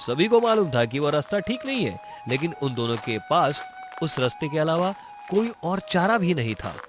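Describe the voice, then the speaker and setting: A man's voice: a little high, sing-song, moderate pace, noisy background. A man narrating a scripted horror story for a cartoon. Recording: music behind the narration.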